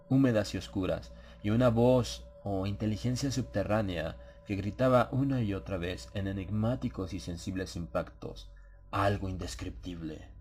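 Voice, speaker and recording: A man narrating a dramatized horror audiobook in a measured, solemn storytelling manner. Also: on the low side, a little mellow. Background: ambient sound effects.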